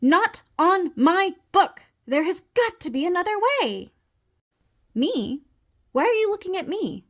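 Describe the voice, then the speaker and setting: A woman's voice: very high-pitched, big pitch swings, measured pace, pitched above the performer's own voice, full character voice. A woman voicing a fussy, easily panicked neat-freak male frog in a theatrical, indignant manner for a children's storybook. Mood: panicked, alarmed. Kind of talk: children's storybook read-aloud.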